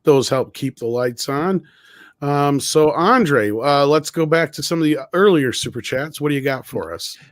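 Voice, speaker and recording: A man's voice: on the high side, expressive, normal speed, no echo, studio quality. A man hosting a casual live stream chat, thanking viewers and passing questions to his guests. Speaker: host.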